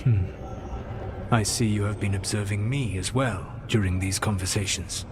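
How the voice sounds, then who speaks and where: An actor playing a proud, regretful ancient elven god in a video game, speaking in a deliberate, formal, reflective way. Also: male voice, on the low side, noisy background, lightly acted. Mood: grave, measured. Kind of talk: dramatic video game acting.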